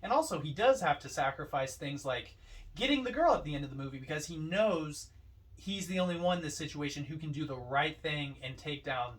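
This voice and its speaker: A man's voice: on the high side, lively intonation, brisk, fairly bright tone. A man co-hosting a film podcast, talking casually through his opinions. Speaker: podcast co-host. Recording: slightly distant from the mic.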